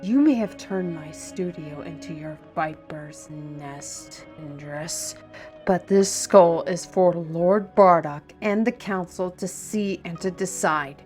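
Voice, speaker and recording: A woman playing a proud, fiery, defiant artisan steward in a fantasy audio roleplay, formal and deliberate. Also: lowish voice, a little mellow, soft background music. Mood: indignant.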